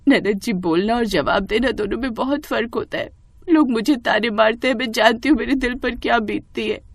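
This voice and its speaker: A woman voicing a gentle, meek young daughter-in-law in a cartoon story, soft-spoken and self-excusing. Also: a little high, moderate pace, creaky, recorded from a distance. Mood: hurt.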